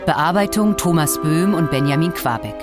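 Announcer over loud brass music. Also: mid-range voice, moderate pace. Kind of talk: scripted drama credits announcement.

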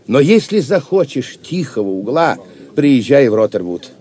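A man's voice: mid-range voice, big pitch swings, normal speed, warm tone, natural voice. An actor playing a generous, proud Saxon nobleman in an audio drama, with stately declamation. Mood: hearty, solemn.

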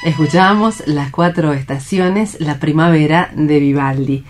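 Lowish-voiced woman hosting radio. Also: unhurried, darkish tone, soft background music. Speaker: radio drama programme host. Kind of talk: unhurried radio commentary on a play.

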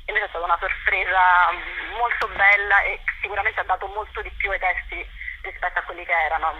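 A woman's voice: on the low side, fairly even intonation, fast, narrow, tinny audio, background noise. A woman chatting casually in a radio interview, hesitating as she searches for words. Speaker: writer being interviewed.

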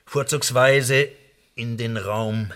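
An actor playing a menacing, mockingly smooth French spy handler in a radio play, taunting with false cosiness. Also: male voice, medium pitch, fairly even intonation, takes it slowly, a bit bright. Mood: probing, threatening. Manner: taunting, falsely cosy, threatening.

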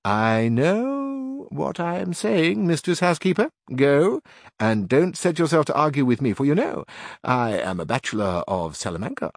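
A man's voice: a little high, very animated, moderate pace, dry recording, studio quality, voice pushed up. A man voicing a learned young bachelor who speaks in a wry, reassuring and slightly mocking tone.

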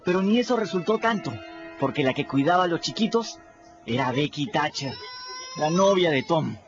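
A scrappy boy telling his own adventures in a radio drama. He is confiding and chatty, with lively swings in pitch. The sound is a bit muffled, over music. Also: moderate pace. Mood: candid storytelling. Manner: conversational, confiding, addresses listeners directly. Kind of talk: first-person radio drama narration.

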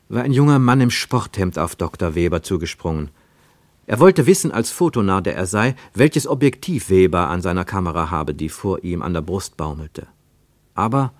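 A man with a fairly low voice narrates a literary text for radio. His delivery is measured and expressive, placing emphasis deliberately, and the studio sound is dry and close. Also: no room sound.

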